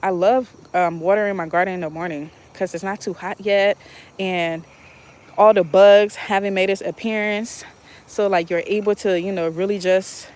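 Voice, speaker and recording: A woman chatting casually to viewers while watering her outdoor garden, speaking into a phone and laughing often. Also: fairly low pitch. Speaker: gardening vlogger.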